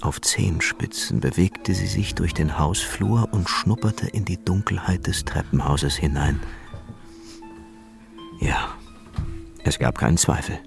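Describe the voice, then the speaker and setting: A man narrating a scripted radio drama in a measured, suspenseful storytelling manner. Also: low-pitched, vocal fry, over music.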